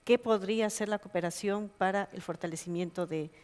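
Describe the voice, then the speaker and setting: A woman's voice: mid-range voice, brisk, a little mellow. A woman from Ecuador moderating a conference panel, asking long, winding questions full of fillers. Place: conference hall. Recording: long hall reverb.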